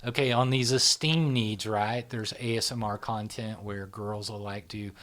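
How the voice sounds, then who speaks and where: Middle-aged man, lowish voice, rambling casual chat, keeps asking right, you know. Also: fairly even intonation, moderate pace, fairly bright tone. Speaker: psychology channel founder and host.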